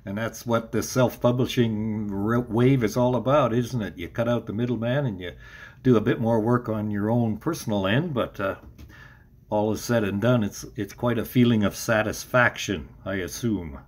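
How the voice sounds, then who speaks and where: A man's voice: on the low side, normal speed. A man hosting an interview in a casual, conversational style, feeling his way through loosely phrased questions and remarks. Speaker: interview host.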